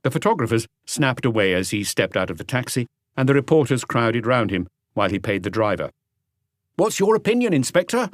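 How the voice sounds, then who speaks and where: A man's voice: on the low side, brisk, no echo, studio quality. A man reading scripted literary narration for a mystery audiobook. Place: close, dry recording space.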